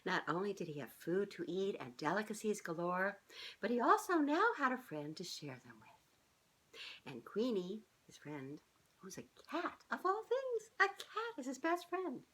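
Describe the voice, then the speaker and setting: A middle-aged woman reading a children's storybook aloud in an expressive, sing-song way, as if speaking directly to young listeners. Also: mid-range voice, measured pace, husky. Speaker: children's author reading her own book aloud. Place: small living room, on a couch indoors.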